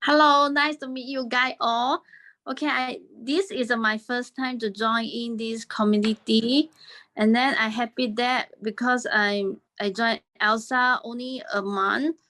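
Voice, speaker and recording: A woman's voice: fairly high pitch, fairly even intonation, a little slow, sharp tone. A woman who speaks English as a second language, introducing herself politely and a little shyly in simple phrases. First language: not English. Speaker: new learning group member.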